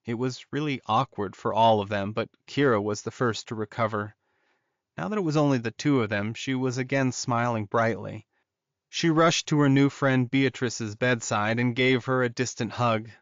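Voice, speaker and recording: A man's voice: medium pitch, normal speed, no echo. A man narrating scripted fiction as an audiobook reader, in a slightly muffled recording.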